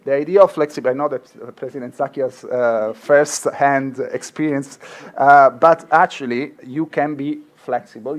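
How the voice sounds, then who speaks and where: A middle-aged man with a marked Italian accent, a law professor speaking earnestly at a conference, with frequent fillers and restarts. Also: mid-range voice. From Italy. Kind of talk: conference talk.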